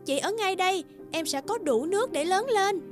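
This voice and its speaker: A woman's voice: very high voice, expressive, moderate pace, music in the background. A woman voicing a kind, generous big-sister pond spirit in a children's cartoon fairy tale. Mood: reassuring. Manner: gentle, reassuring.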